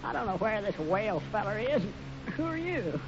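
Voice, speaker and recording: Befuddled folksy cowboy, swooping voice. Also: male voice, high-pitched, big pitch swings, moderate pace. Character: dim-witted folksy cowboy sidekick with amnesia, turning cocky. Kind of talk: 1950s radio western acting. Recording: muffled, noisy 1950s radio recording.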